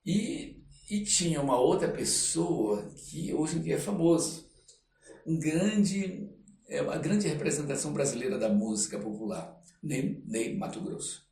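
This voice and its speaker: An older man with a Brazilian Portuguese accent tells stories as an interview guest, in a halting way, repeating phrases for emphasis. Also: on the high side, sing-song, a little mellow, distant mic. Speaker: podcast guest, stage performer. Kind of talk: conversational storytelling interview. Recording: roomy echo, off-mic, faint noise.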